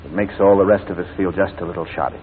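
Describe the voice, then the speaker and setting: A man's voice: sits low, fairly even intonation, racing, natural voice. A man playing a shrewd, proud English barrister in 1940s radio drama, articulate and formal. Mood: tender. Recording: tinny, noisy 1940s radio recording.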